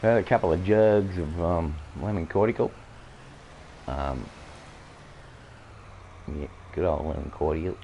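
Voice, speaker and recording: A man with an Australian accent, croaky from coughing, rambling through farming-game commentary with many halting fillers. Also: sits low, dark tone, a little hiss or hum, rough recording. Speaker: gameplay streamer.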